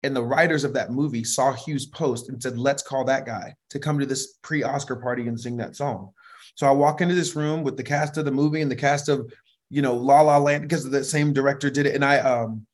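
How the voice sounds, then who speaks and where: A middle-aged man with a high voice, a worship leader telling stories in a relaxed, animated way in an interview, laughing often and restarting sentences. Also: fairly quick. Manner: animated, laughs often, frequent restarts and "you know what I mean". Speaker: worship leader and vocal producer, interview guest. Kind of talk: relaxed conversational storytelling.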